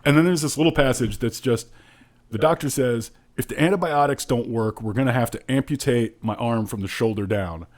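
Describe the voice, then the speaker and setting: A man's voice: mid-range voice, a little fast, darkish tone. A man co-hosting a podcast, giving a frank and opinionated book review in informal conversation. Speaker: podcast co-host.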